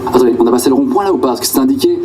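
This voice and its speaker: A man's voice: mid-range voice, expressive, racing, mellow, slight background noise, natural voice. A man voicing a clueless male GPS that gets confused and backtracks. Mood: flustered. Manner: flustered, backtracking.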